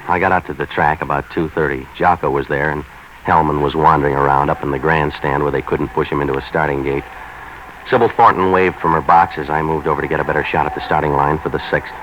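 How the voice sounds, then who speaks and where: An actor playing a cynical private investigator in 1940s radio noir, narrating and sparring in a terse, deadpan, wisecracking way. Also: male voice, fast. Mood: world-weary. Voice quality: low-pitched voice. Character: cynical hard-boiled male private investigator for hire. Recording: dull, noisy 1940s radio recording.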